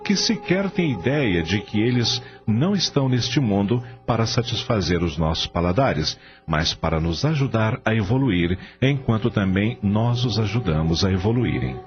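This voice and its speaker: A man's voice: on the low side, expressive, moderate pace, hardly any pauses. A man narrating a radio drama from a script in a dramatic storytelling style. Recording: dull sound under clear music bed.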